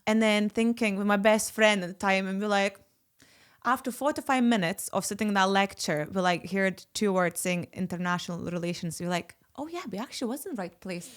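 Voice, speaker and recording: A woman's voice: mid-range voice, brisk, clear, resonant tone. A woman from Lithuania, speaking English as a second language with a Lithuanian accent, hosts a casual podcast chat in a chatty, reassuring way. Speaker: podcast host.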